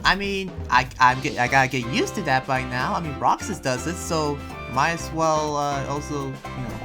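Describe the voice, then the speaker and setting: Someone playing an earnest town preacher in an improvised anime game roleplay, speaking haltingly and hesitantly. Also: mid-range voice, close to an everyday voice. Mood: guarded. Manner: halting, hesitant, often trailing into "you know". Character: Yuma, earnest town preacher busy planning a festival. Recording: music behind.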